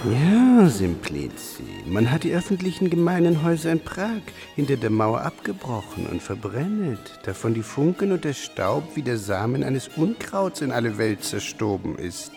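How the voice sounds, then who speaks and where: A mischievous old-world army scribe in a radio drama, swinging his pitch widely with a gravelly creak. He spins tall tales with mock earnestness while music plays behind him. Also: big pitch swings, normal speed, few pauses. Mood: mock-earnest, sly. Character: sly military scribe, mischievous rogue spinning tall tales. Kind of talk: radio play acting.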